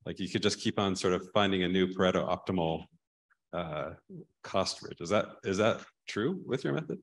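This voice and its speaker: A man's voice: low-pitched, normal speed. A man chairing a session and casually asking technical questions. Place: large lecture theatre.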